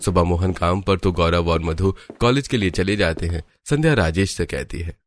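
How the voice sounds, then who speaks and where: A man's voice: deep voice, moderate pace, a little hiss or hum, natural voice. A man narrating a scripted cartoon story. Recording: music behind the voice. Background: background music.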